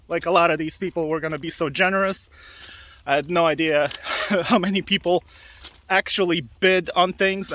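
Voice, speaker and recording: A man's voice: high-pitched, sharp tone. A man hosting a casual walking video podcast outdoors, rambling and upbeat, laughing often and talking with many fillers. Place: outdoors by railway tracks.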